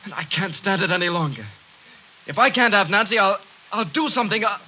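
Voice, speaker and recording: A man's voice: sits high, animated, fairly quick, sharp tone, heavily acted, poor audio. An actor playing a dejected, tormented young man in love in a 1940s radio drama. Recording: faintly hissy 1940s radio broadcast.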